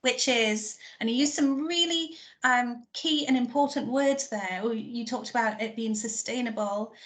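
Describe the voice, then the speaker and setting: Higher-voiced woman host recapping. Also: unhurried, a bit bright. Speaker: event host. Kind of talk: recapping the guest's story.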